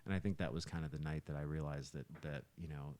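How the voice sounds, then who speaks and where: A man in his fifties telling stories casually on a podcast, halting and emphatic as he goes. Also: rumbling low, steady tone, a little mellow. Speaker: musician and podcast co-host. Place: small home living room.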